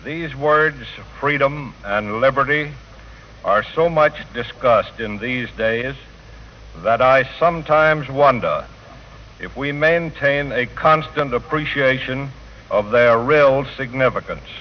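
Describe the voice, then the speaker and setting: A man, a government official, reading a solemn formal message with measured pauses on an old 1940 radio broadcast. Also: medium pitch, dull audio, a little hiss or hum. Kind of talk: solemn formal read speech.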